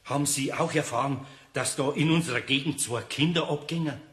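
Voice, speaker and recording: A man's voice: fairly high pitch, brisk, a bit bright, breathy edge. An actor playing a good-hearted, grumbling older craftsman in a children's radio play, speaking colloquially with a Bavarian accent. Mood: polite, tentative. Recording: noisy old cassette audio.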